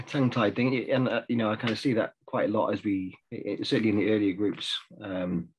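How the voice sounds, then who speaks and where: A man's voice: lowish voice, little pitch movement, moderate pace, a little hiss or hum, low-quality recording. A middle-aged man from South Africa, a therapist, talking hesitantly with many fillers on a video call. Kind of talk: reflective group discussion on video call. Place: home room. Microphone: video call webcam.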